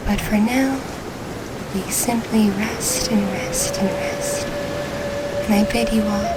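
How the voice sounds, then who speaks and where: A woman voicing a gentle orca girl spirit who tells a bedtime story in a soothing, unhurried ASMR style, drifting into whispers. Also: mid-range voice, rough recording.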